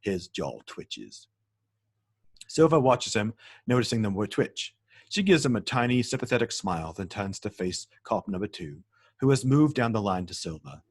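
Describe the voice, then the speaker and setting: Husky, lowish male narrator. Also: big pitch swings, moderate pace, dry recording, studio quality. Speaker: stage-direction narrator. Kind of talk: scripted narration at a table read.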